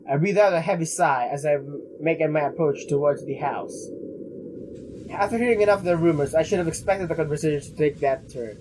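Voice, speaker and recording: A young man doing a let's play, haltingly reading game text aloud with casual commentary. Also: fairly high pitch, background noise. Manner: halting, stumbles while reading.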